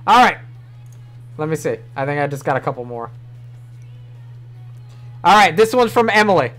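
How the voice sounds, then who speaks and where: Young streamer, New York accent, raised voice, loose rambling chat with swearing, soft music underneath. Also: male voice, a little high, big pitch swings, moderate pace. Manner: rambling, swears casually, laughs often. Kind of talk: casual livestream chat. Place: small home room.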